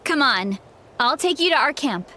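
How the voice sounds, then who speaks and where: A woman's voice: high-pitched, very animated, normal speed, bright, forceful tone, a little hiss or hum, heavily acted. An actress playing a cheerful, modest young woman warrior in an anime-style video game, with an earnest, bubbly delivery. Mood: helpful, upbeat. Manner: earnest, bubbly, self-effacing.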